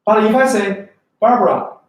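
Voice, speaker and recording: A man's voice: high-pitched, normal speed. A man from China with a Chinese accent, teaching an English test class and often checking in with students. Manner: lively, asks students questions often. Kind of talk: classroom lecture. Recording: echoey room from far off.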